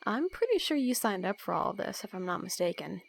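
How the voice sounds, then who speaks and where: A woman acts out a young female assassin in an audio drama, bossy and sarcastic. Her medium-pitched voice carries dry, teasing banter. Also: very animated, fairly quick, gravelly. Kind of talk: casual audio roleplay acting.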